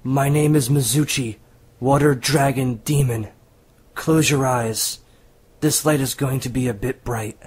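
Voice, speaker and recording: A man voicing a calm, secretive water dragon demon who passes for a teenage boy, in an amateur audio drama. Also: mid-range voice, a little slow, breathy edge. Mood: solemn, revealing.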